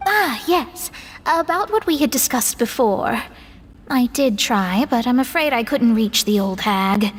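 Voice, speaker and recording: Earnest young astrologer, high-voiced. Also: female voice, animated, measured pace, slightly rough, long reverb tail. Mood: composed. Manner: articulate, formal, thoughtful. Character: earnest, scholarly young female astrologer, gently hopeful. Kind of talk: anime-style video game voice acting.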